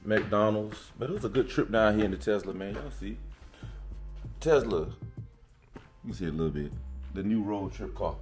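A very deep, low man in his forties chats easily to a handheld camera, relaxed and full of slang. Soft music plays faintly under his voice. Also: normal speed, long pauses. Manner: laid-back, slangy, says "man" and "y'all" often. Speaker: family vlogger. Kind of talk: casual vlog chat. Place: outdoors at a garage, then a dining room, then a car.

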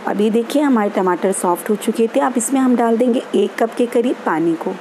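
A woman hosting a home cooking channel, calmly explaining each step of a recipe in an easygoing, instructive way. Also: on the high side, fairly quick, a little hiss or hum, poor audio. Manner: easygoing and instructive, repeats steps. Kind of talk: home cooking tutorial voice-over. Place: home kitchen.

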